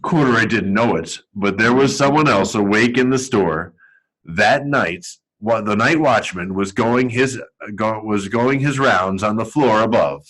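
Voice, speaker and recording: A man's voice: fairly low pitch, measured pace, a bit bright, poor audio. An American man reading a children's story aloud expressively as a storyteller.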